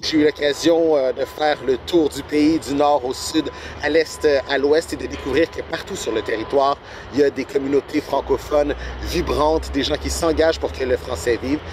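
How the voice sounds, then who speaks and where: A man telling a video testimonial in a slow, proud way. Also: mid-range voice, fairly even intonation, noisy background.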